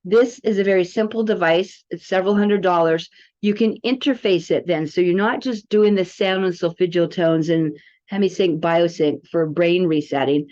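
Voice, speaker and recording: A woman's voice: lowish voice, normal speed, a little mellow. A woman giving a casual product tutorial as a presenter, chatting informally and rambling a little. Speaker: presenter demonstrating a wellness app. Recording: dull, lo-fi audio.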